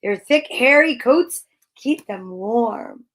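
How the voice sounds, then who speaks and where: A woman's voice: a little high, animated, slow, a bit bright. A woman hosting a live children's story time, reading aloud and chatting to viewers with playful, encouraging exclamations. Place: at home.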